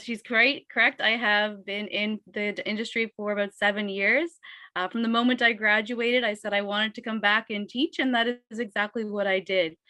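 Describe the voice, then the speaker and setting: Hoarse, bright-voiced woman instructor. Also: mid-range voice, no room sound. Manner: upbeat, explaining step by step. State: hoarse from a cold, losing her voice. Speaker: dental assisting instructor. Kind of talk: webinar presentation.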